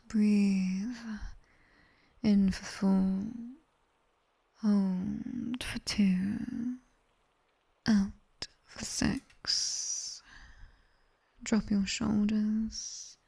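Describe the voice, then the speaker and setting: A British woman playing a strict, teasing, seductive demoness tutor in an intimate ASMR roleplay, with breathy whispers and a condescending yet coaxing delivery, close on a binaural mic. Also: mid-range voice, level tone, very slow, pauses often, warm tone, heavily acted. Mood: seductive, commanding. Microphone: close binaural microphone.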